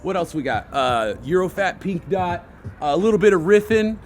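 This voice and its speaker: A man hosting a graffiti how-to, his voice hoarse, chatting casually and rambling in slang while he paints outdoors. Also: high-pitched, expressive, moderate pace, faint music behind. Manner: laid-back, rambling, slangy. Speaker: graffiti artist and channel host. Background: hip hop backing beats.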